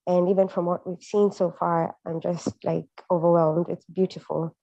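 A woman from Kenya, a photographer speaking earnestly on a group call, with frequent halting restarts. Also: on the low side, level tone, gravelly, warm tone, no room sound. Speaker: guest artist, photographer. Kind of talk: casual group call chat. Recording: dull lo-fi online call audio.

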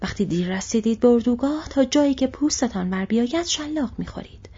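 A woman voicing a brutal male orc slave-driver who shouts threats and taunts, with a husky voice, on a slightly dull recording. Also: on the high side, lively intonation, pitched above the performer's own voice. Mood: jeering.

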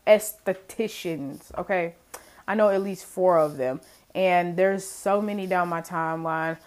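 A young adult woman hosting her own podcast, chatting casually and laughing often as she talks to listeners. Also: mid-range voice. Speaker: podcast host. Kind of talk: casual solo podcast chat.

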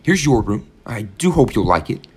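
A man's voice: medium pitch, very animated, normal speed, a little mellow, husky, voice pushed up. A man voicing a fussy, overly sweet older landlady who coos and gushes at her guest. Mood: cooing. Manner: fussy, cooing and gushing.

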